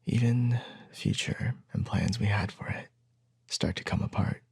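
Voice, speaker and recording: A man playing a gentle, reassuring young boyfriend in an intimate ASMR roleplay, speaking softly and tenderly, often close to a whisper, with hesitant pauses. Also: lowish voice, heavily acted. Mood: thoughtful, soothing. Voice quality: soft, breathy, husky, often near a whisper.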